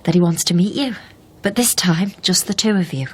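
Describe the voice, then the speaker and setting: An actress playing a concerned, steady young woman who supports her partner in a naturalistic radio drama, with a brisk, questioning manner. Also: lowish voice, husky. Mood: calm, coaxing. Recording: background noise behind radio drama dialogue.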